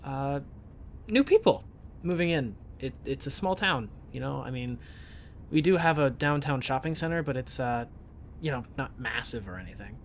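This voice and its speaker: A man's voice: medium pitch, lively intonation, normal speed, slight background noise, close to an everyday voice. Man playing hesitant, awkward small-town guy. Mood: flustered, shy. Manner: hesitant, stammering, apologetic. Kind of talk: naturalistic audio drama acting.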